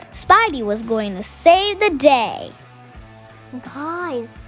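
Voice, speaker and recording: Slow, high-voiced woman reading a children's story. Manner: expressive, addresses young listeners with questions. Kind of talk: read-aloud storytelling with chatty asides to children. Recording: music behind the voice. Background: music.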